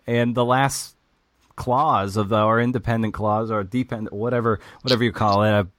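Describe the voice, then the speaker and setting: A man's voice: fairly low pitch, steady tone, normal speed, fairly bright tone, no echo. A man hosting a podcast interview, affirming his guest and thinking aloud. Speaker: podcast host. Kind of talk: casual conversational interview.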